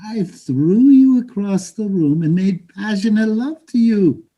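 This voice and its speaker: A man's voice: high voice, expressive, measured pace, dark tone, exaggerated voice. A man playing a proud, theatrical Salvadoran father of thirty-five in a staged reading, with a grandiose, insistent manner. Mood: boastful. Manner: grandiose, insistent, mixes Spanish into English. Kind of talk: live staged play reading.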